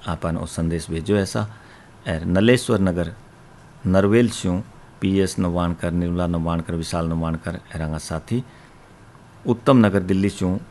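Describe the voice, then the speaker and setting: A man's voice: deep voice, darkish tone, slight background noise. A man with a Rajasthani accent hosting a radio request show, reeling off long lists of listener names and places. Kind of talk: reading out listener letters.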